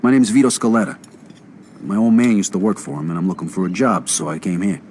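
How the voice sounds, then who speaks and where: A man acting a young job seeker in a video game. He has a lowish voice and talks fast and plainly, with faint noise behind him. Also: a little mellow, lightly acted. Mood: matter-of-fact.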